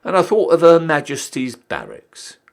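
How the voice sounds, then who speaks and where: Man acting a cheeky old-style British soldier, wildly animated. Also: on the high side, moderate pace, vocal fry, voice pushed up, heavily acted. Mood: rueful, defiant. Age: young adult. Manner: theatrical, emphatic, swooping delivery. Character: cheeky down-at-heel ex-soldier re-enlisting, Victorian British army ranker. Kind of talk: dramatic poetry recital.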